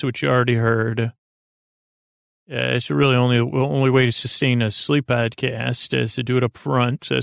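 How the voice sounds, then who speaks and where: A man with a creaky, fry-laden voice speaks in a very even, lulling tone on a close, dry recording. He rambles gently and reassuringly like a bedtime podcast host, halting often and drifting through filler words and tangents. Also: medium pitch, no echo. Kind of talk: rambling soothing bedtime monologue.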